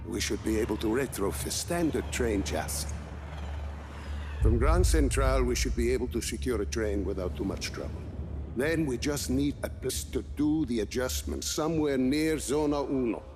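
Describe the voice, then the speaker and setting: An actor playing an older, thoughtful, weary scientist in a video game, with a measured, explanatory delivery. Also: male voice, mid-range voice, close to an everyday voice. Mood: explaining plan. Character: older male scientist, thoughtful, proud, weary ex-prisoner plotter. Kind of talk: cinematic video game acting. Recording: music behind.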